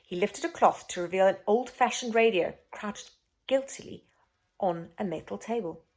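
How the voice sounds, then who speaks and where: A woman reading a children's book aloud as an expressive story narrator. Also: medium pitch, normal speed.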